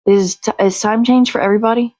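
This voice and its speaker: A woman's voice: a little high, steady tone, fast talker, darkish tone, dry recording. A woman hosting a morning show in casual chat, talking in a blunt, sweary, rambling way.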